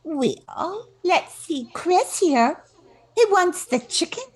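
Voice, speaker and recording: Older woman as a very slow, ditzy beauty queen. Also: extremely high pitch, very animated, exaggerated voice. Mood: dithering. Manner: drawn-out, preening. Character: ditzy, self-satisfied young beauty queen. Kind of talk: comic old-time radio play acting.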